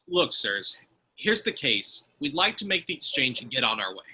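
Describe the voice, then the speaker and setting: Man playing a nervous delivery guy, tense and husky. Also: medium pitch, big pitch swings, normal speed, bright, forceful tone, full character voice. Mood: anxious pleading. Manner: hesitant, placating. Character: nervous, polite young male delivery guy. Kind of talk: amateur screenplay table read.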